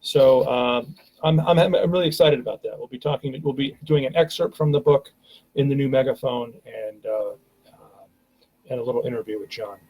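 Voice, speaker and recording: A man's voice: medium pitch, moderate pace, darkish tone, husky, poor audio. A man hosting a live stream, talking casually and rambling with many fillers and restarts, from a home webcam.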